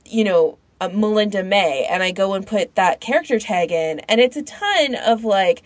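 A woman with a mid-pitched voice talks casually as a podcast co-host. She is informal and opinionated, and says "like" often. The recording is dry. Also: animated, moderate pace, no echo. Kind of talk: casual chat.

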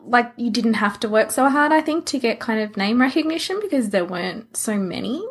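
A woman's voice: fairly high pitch, expressive, fairly quick, breathy edge. A woman co-hosting a podcast, chatting casually and posing questions in a thoughtful, tentative way. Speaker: podcast co-host.

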